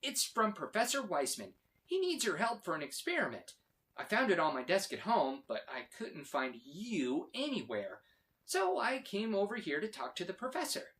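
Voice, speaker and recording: A man's voice: high voice, wildly swooping pitch, moderate pace, fairly bright tone, heavily acted. A man voicing a caring male friend in a children's storybook, kindly and explanatory. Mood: reassuring. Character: friendly man in yellow hat, caring companion.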